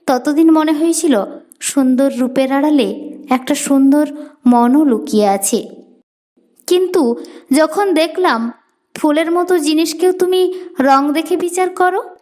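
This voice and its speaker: A woman's voice: sits high, darkish tone. A woman voicing a hurt, dignified, disillusioned crow in a storybook fable, with a Bengali accent.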